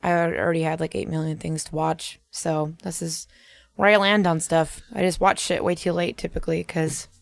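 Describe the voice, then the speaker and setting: A woman's voice: low-pitched, flat delivery, normal speed, gravelly, dry recording. A woman co-hosting a podcast, chatting casually in a blunt, slangy and sweary manner. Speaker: podcast co-host. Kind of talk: casual chat.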